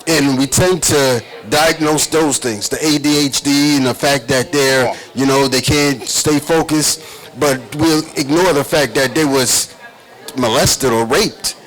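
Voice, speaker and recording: A man's voice: mid-range voice, a little slow, a bit bright, poor audio. A man giving an explanatory interview answer as an author and therapist.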